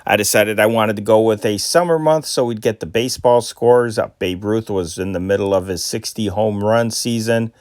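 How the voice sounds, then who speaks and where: A man's voice: lowish voice, moderate pace, hardly any pauses, smooth, dry recording, studio quality. A man hosting an amateur channel, chatting with many fillers and then reading old newspaper stories aloud. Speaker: host.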